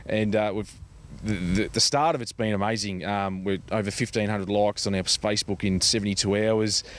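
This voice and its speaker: An Australian man with a fairly low voice speaks as an interviewed charity representative. His delivery is conversational and halting, with repeated words. There is some ambient noise behind him. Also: steady tone.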